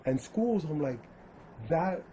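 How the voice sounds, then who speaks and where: A man's voice: on the high side, animated, slow pace, dark tone, a little hiss or hum. A man born in Cuba, hosting a casual interview and often chiming in with short agreements. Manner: frequent short agreeing replies. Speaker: podcast host.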